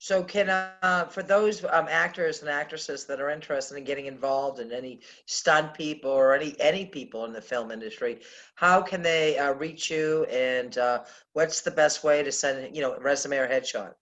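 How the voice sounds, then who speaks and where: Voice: mid-range voice, moderate pace, fairly bright tone, muffled recording. An interviewer from Boston with a strong Boston accent, asking questions and affirming the guest encouragingly.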